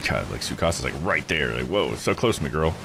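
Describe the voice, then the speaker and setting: A man's voice: rumbling low, expressive, brisk, vocal fry, background noise. A man giving casual live game commentary, joking, slangy and thinking aloud. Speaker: video game streamer.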